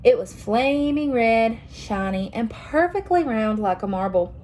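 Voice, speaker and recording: A young woman reading a picture book aloud to children, expressive and pausing to ask them questions. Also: fairly high pitch, slight background noise. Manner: expressive, asks listeners questions. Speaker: children's story reader.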